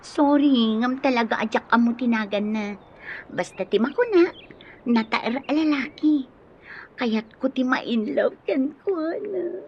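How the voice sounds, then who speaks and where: A woman's voice: fairly high pitch, unhurried. An actress with an Ilocano accent voicing a giggly, teasing, lovestruck young woman in a radio drama. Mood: giddy, swooning. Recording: dull lo-fi audio, faint background noise.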